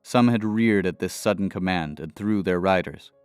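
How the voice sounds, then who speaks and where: A man narrating a scripted fantasy adventure in a dramatic, measured storytelling manner. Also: low-pitched, a little mellow, clean tone, dry recording, soft background music.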